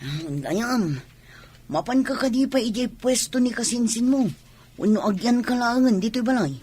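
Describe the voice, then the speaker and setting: A woman playing a devoted, hardworking young spouse with a husky voice and an Ilocano accent in a radio drama. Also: a little high, expressive, moderate pace, warm tone. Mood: affectionate.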